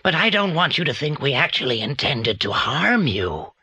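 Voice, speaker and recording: An actor with a British accent playing a reclusive, secretive old film actor in a children's audio drama, deliberate and theatrical. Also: male voice, mid-range voice, very animated, bright, forceful tone, husky, no room sound, full character voice. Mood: grave.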